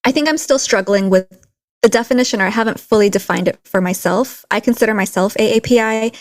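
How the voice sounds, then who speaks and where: A woman raised in a Texas border town, co-hosting a podcast in a relaxed, reflective way with light laughs. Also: mid-range voice, fast talker, dry recording. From Philippines, raised in a Texas border town. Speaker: podcast co-host. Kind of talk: casual conversational podcast chat.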